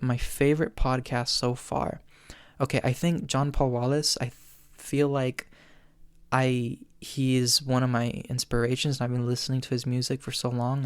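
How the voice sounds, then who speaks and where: A man's voice: mid-range voice, level tone, smooth, no room sound, studio quality. Earnest young man with tired cracking voice. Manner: chatty, earnest, lots of ums and quick laughs. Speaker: podcast host. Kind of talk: solo Q&A chat.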